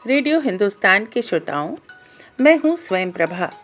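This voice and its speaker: A woman's voice: medium pitch, very animated, normal speed, soft background music. A middle-aged woman from Bihar, a native Maithili speaker, introducing herself to listeners as a radio presenter in a scripted style. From Bihar, India.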